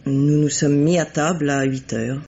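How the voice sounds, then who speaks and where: An actress playing a composed, coolly bitter middle-aged widow in a French radio mystery play, speaking formally and deliberately. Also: female voice, low-pitched, steady tone, mellow, a little hiss or hum. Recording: dull, lo-fi vintage radio recording.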